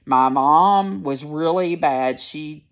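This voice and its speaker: A woman in her sixties with a deep, firm voice, talking candidly and rambling a little as she speaks to her viewers into a phone. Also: slow pace. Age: 60s. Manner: candid, rambling, plain-spoken. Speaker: personal vlogger. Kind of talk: casual personal storytelling to viewers.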